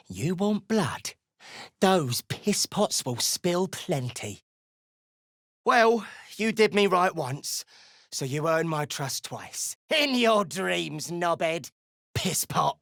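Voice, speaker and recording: A defiant little gnome woman from a fantasy game. Her pitch swings widely and her pace is measured and slow. She is blunt and scornful, in a close, dry studio sound. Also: a bit bright, no echo, full character voice. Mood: low, grim, then irritated, scornful. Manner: blunt, scornful, curses freely. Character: gruff, foul-mouthed female deep gnome, defiant and suspicious. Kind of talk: video game character dialogue.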